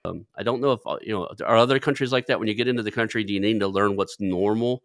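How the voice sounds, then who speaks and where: Male podcast host, deep voice, dry close recording, casual and slightly halting as he rephrases questions. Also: no echo. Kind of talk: casual interview chat.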